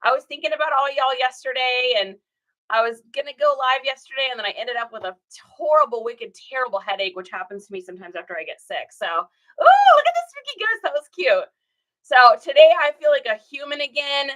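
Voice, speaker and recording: A woman's voice: sits high, lively intonation, brisk, a bit bright. Scratchy-voiced chatty woman streamer. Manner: chatty, greets viewers by name, laughs often. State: scratchy voice after a cold. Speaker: reseller livestream host. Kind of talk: casual livestream chat.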